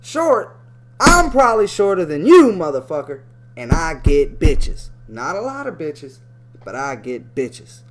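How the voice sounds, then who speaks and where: A man's voice: fairly high pitch, big pitch swings, unhurried. A man giving casual, profane and sarcastic reaction commentary over a video clip.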